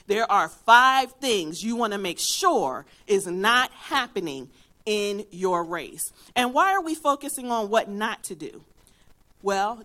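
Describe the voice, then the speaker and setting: A woman's voice: a little high, fairly bright tone. A woman from Philadelphia with a faint Philadelphia accent, preaching a sermon in an earnest, emphatic way and weaving in a personal story. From Philadelphia, USA.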